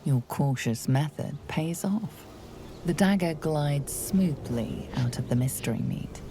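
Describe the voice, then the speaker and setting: A woman playing the watchful narrator of a fantasy game, telling it in a measured, ominous way. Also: low voice, animated, vocal fry, noisy background, exaggerated voice. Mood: grave, intrigued. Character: grave, watchful female storyteller of a fantasy game. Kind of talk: dramatic second-person scripted narration. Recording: music behind the voice.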